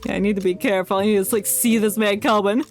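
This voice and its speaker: Streamer w/ androgynous mid pitch voice, chatty n playful, kinda muttering to self while gaming, game music in the back. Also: fairly even intonation, fast talker. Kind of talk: casual live gaming commentary. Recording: music behind the voice.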